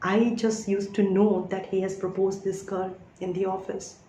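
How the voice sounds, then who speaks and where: A woman's voice: mid-range voice, little pitch movement, normal speed, dark tone, echoey room, a little hiss or hum, rough recording. A woman in her thirties who reads tarot, talking in an emphatic spiritual monologue and often checking in with "all right?". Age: 30s. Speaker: tarot reader.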